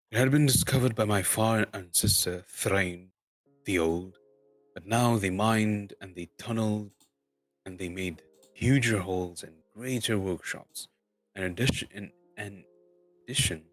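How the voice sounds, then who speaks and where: Man playing a proud, grandiose, low-voiced dwarf leader. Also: no room sound.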